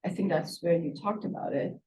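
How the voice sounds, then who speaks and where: A woman's voice: fairly low pitch, a little fast, a little mellow. A woman instructor giving spoken feedback to a student, probing with follow-up questions. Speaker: university instructor reviewing a student project. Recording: echoey room, distant microphone.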